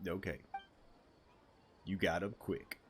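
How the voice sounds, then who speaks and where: Male gaming streamer, lowish but bright, forceful voice, offhand slangy asides. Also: steady tone, takes it slowly, slight background noise. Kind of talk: casual gameplay commentary.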